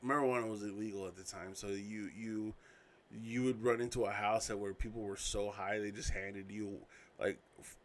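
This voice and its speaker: Low-voiced man rambling through memories. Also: fairly bright tone. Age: 30s. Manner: rambling, self-correcting, loose storytelling. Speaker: podcast host and father. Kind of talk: casual solo podcast reminiscing.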